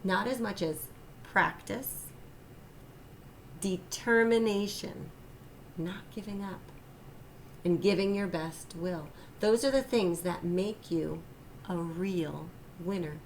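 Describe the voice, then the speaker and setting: Woman voicing a gentle, encouraging storybook father. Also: medium pitch, slow, long pauses, slight background noise, rough recording. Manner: gentle, earnest, instructive. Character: caring, encouraging father of a young boy.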